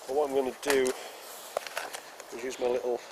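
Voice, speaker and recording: A British man in his forties talks through his camp lunch in an easy, step-by-step way, his pitch sitting right in the middle for a man. He is recorded outdoors on a camera mic, with steady outdoor noise clearly heard around him. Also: moderate pace. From United Kingdom. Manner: chatty step-by-step explaining. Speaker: outdoor vlogger. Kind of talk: casual outdoor cooking vlog. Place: woodland picnic table outdoors. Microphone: camera mic, handheld then on a tripod. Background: open outdoor air in woodland.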